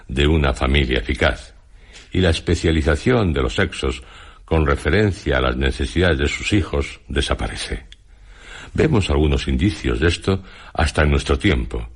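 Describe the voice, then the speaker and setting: An actor playing a reflective, grave male time traveller, in a measured, solemn radio monologue. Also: extremely low pitch. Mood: reflective, explanatory. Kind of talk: dramatized literary monologue in a radio programme.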